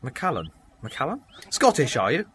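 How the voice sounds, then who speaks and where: A man playing an eccentric, blustering time traveler, theatrical and quick to bluff. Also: medium pitch, huge pitch swings, fairly bright tone, slightly rough, full character voice. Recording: noisy amateur recording.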